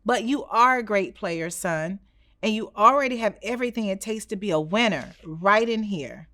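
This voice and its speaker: A woman voicing a caring, encouraging father in a children's storybook, speaking gently and reassuringly. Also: mid-range voice, lively intonation, moderate pace, no echo. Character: caring, encouraging father talking to his son.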